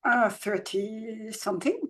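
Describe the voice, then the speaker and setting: A woman in her seventies who grew up in France, a children's book author talking in an interview in a soft, halting way with many restarts. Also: on the high side. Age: 70s. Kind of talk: reflective conversational interview.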